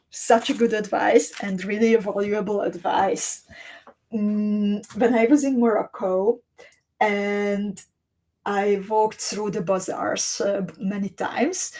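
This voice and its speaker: A woman talks slowly and unhurriedly as she hosts a live craft stream. Her voice is mellow and soft-edged, and she is chatty and descriptive, greeting her viewers by name. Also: mid-range voice. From Hungary or Slovakia. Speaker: host of a beading livestream. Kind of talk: live-stream chat, showing beads and reading viewer comments.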